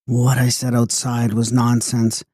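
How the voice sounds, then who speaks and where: Guy doing a mysterious old lady, sing-songy, dark mellow tone, dry sound. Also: mid-range voice, monotone, measured pace, dry recording, close to an everyday voice. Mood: confiding. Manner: sing-song, repetitive refrain.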